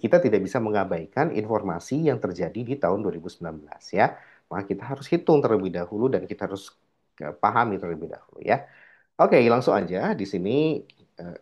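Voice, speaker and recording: A man gives an informal recorded lecture in a fairly low voice. He explains step by step, posing rhetorical questions and answering them himself, with frequent check-in tags. The sound is a little muffled and lo-fi. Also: darkish tone. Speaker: accounting lecturer.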